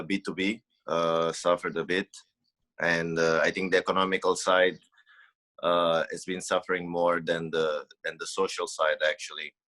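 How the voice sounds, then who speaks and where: Brief: very low, forceful male guest from Mozambique, earnest and halting. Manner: earnest, searching for words. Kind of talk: casual interview talk.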